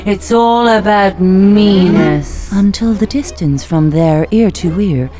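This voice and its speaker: Woman acting as proud wise goddess, slow measured delivery, theatrical, radio play, faint music. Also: medium pitch, dark tone, close to an everyday voice. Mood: vengeful, grand.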